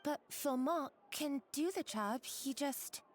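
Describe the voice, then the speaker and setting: Timid, halting young kitchen worker. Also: female voice, high voice, animated, slight background noise. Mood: timid, confused. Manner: hesitant, halting. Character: timid, flustered young female kitchen worker, hesitant and cowed. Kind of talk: English video game voice acting.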